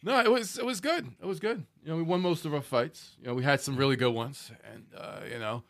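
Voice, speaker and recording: A man's voice: on the high side, fairly quick, fairly bright tone. A man co-hosting a casual video game podcast, bantering loosely with friends. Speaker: podcast co-host.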